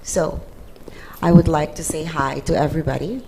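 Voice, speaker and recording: A woman's voice: low-pitched, normal speed, mellow, slight background noise, low-quality recording. A woman from Suriname whose first language is Dutch, speaking earnestly as a panelist introducing herself and her anti-racism work. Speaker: city anti-racism program manager.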